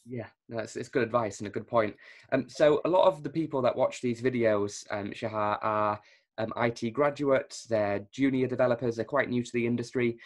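A man hosts a casual tech podcast interview over a video call. He asks his questions with plenty of ums. His voice sits at an ordinary middle pitch. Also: fairly even intonation, moderate pace. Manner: friendly, filler-heavy questioning. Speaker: recruiter and podcast host.

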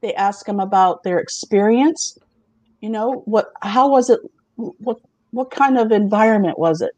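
A middle-aged woman hosting a casual talk show, chatty and quick to laugh as she presents remote job openings and asks the others questions. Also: mid-range voice, a little mellow, no echo, poor audio.

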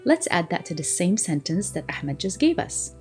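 A woman teaching a language lesson, explaining and slowly repeating phrases for learners to copy. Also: on the low side, big pitch swings, a little mellow, faint music behind. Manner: slow, deliberate repetition of phrases for learners; switches between explaining and modelling phrases.

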